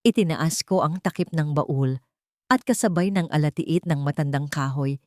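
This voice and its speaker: A woman reading a scripted first-person dramatic story in a measured, literary way. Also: low-pitched, darkish tone, dry recording, studio quality. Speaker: narrator of a fictional love drama.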